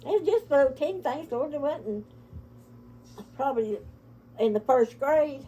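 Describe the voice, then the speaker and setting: Older Appalachian granny with a mellow voice. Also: female voice, high voice, lively intonation, a little slow, dull audio, a little hiss or hum. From Appalachia, United States. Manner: folksy, rambling storytelling. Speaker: family storyteller, grandmother. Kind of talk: casual reminiscing with relatives.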